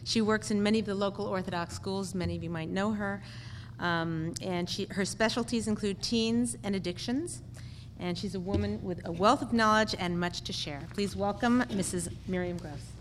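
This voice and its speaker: A woman's voice: mid-range voice, moderate pace. A woman emceeing an event, briefly introducing the next speaker. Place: hall. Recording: reverberant hall, faint noise.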